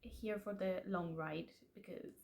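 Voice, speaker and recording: A woman's voice: on the low side, fairly even intonation, a little slow, creaky, a little mellow. A young woman whose first language is Spanish, talking casually and candidly to camera as an aspiring author, chatty and self-deprecating. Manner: chatty, self-deprecating, restarts and laughs often. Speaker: aspiring author and channel host. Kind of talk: casual confessional vlog.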